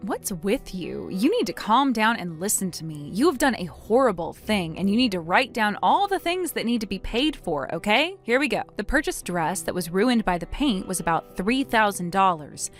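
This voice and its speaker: A woman's voice: mid-range voice, sing-song, moderate pace, hardly any pauses, soft background music. A woman voicing a wronged bride in a melodramatic story, stern and businesslike, scolding and explaining firmly. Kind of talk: acted dialogue in a melodramatic story.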